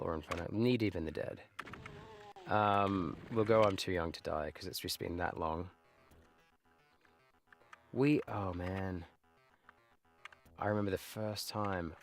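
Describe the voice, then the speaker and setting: A man in his late thirties chatting casually while he plays a game live, reminiscing and trailing off into muttered asides. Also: low voice. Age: 30s. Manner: nostalgic, rambling, with muttered asides. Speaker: livestreamer playing games. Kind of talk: casual live gameplay commentary.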